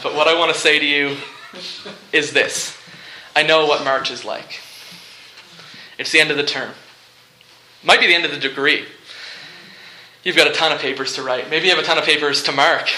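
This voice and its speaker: A man delivers a sermon in a very bright, forceful voice that sits a little high. He speaks in short, deliberate phrases and leans on repetition for effect. The room around him rings with echo. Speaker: preacher. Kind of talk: sermon with movie illustrations and humour. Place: live talk in a large room before listeners. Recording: echoey room.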